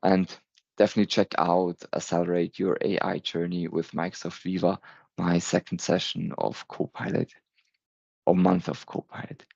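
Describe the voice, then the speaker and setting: A man's voice: low voice, expressive, moderate pace, gravelly, a little mellow, no room sound, highs cut off. A man in his thirties with a German accent, giving a recorded talk, earnest and sometimes stumbling over words. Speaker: presenter.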